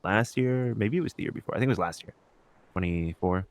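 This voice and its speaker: Man, low-pitched voice, podcast co-host, casual conversational talk, dry close recording. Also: fairly quick, dry recording. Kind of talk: casual chat.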